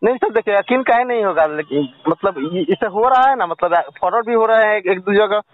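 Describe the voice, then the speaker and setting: A man's voice: high-pitched, a little fast, no echo. A young man making a casual phone call as a caller, hesitant and full of questions. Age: young adult. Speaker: caller selling an old coin. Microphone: telephone. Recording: tinny, dry phone call recording.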